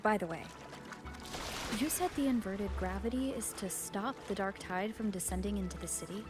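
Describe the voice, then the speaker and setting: A woman's voice: mid-range voice, normal speed, lightly acted. A woman voicing a composed, capable female adventurer and fighter in a video game. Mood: calm, inquiring. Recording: loud game music underneath.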